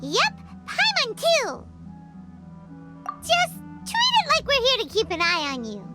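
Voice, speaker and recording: Squeaky excitable fairy companion. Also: female voice, takes it slowly, over loud music, full character voice. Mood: excited. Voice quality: squeaky, animated. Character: tiny floating female fairy companion, excitable. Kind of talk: video game voice acting.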